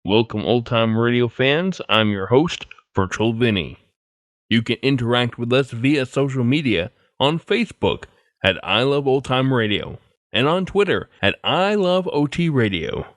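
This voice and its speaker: Animated male podcast host, dry studio sound. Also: medium pitch, unhurried, no echo. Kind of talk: scripted announcing. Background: music.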